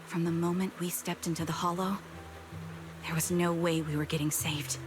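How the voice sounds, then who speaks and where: An actress playing a composed, rational military veteran woman in a video game, speaking in a calm, deliberate, matter-of-fact way. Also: on the low side, music in the background, lightly acted. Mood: calm, sober. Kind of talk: scripted video game story acting.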